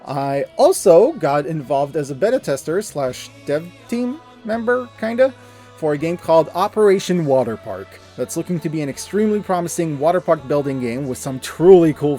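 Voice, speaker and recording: A man in his thirties giving an earnest, expressive, scripted personal narration, with emphatic delivery. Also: a little high. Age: 30s. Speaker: video creator and narrator. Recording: music under the voice. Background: background music.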